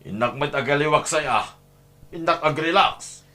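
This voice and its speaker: A man playing a callous, short-tempered, domineering husband and father with an Ilocano accent in a radio-style family drama, gruff and scornful. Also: fairly high pitch, normal speed, bright, forceful tone. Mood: dismissive.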